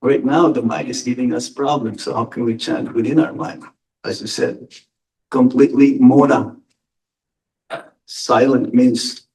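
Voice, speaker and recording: Slow, deliberate male monk. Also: medium pitch, a little mellow, recorded from a distance, low-quality recording. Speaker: monk giving a spiritual talk. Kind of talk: question-and-answer spiritual lecture.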